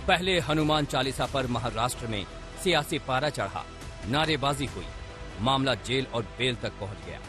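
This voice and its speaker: A man's voice: medium pitch, animated, moderate pace. A man reading a scripted news report as a voice-over, with dramatic, punchy delivery. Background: background music under voice-over.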